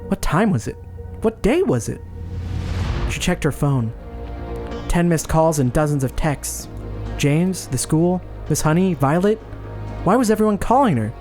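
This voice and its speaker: Guy podcast host, voice on the high side, loud music under him. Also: expressive, unhurried. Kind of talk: dramatic scripted narration, then casual chat.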